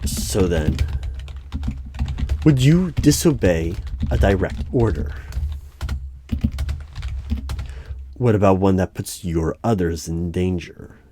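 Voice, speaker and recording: A man playing an unnerved, exasperated hiring manager in a one-sided audio roleplay, with halting speech that repeats words. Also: sits low, expressive, dark tone, a little hiss or hum. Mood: wary, testing.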